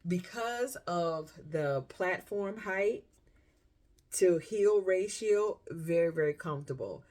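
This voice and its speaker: A middle-aged woman from South Carolina speaks with a Southern US accent in a fairly low voice. She talks casually, like a fashion vlogger sharing a haul. Her storytelling is chatty, and she voices her own inner reactions along the way. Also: lively intonation, slow, darkish tone. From South Carolina, United States.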